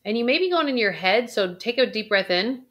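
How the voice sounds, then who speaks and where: A woman coaching viewers through a guided intuition exercise, with soft sighs and a calm, unhurried delivery. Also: on the high side. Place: indoors, recording a video.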